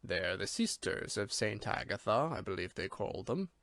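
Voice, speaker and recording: Man voicing a manservant, slight Irish accent, grave, softly deferential, storybook reading, dry sound. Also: on the low side, big pitch swings, moderate pace, gravelly, dry recording, voice pushed down, full character voice. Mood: solemn, deferential. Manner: formal, quiet, grave. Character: solemn, deferential male servant with slight Irish lilt.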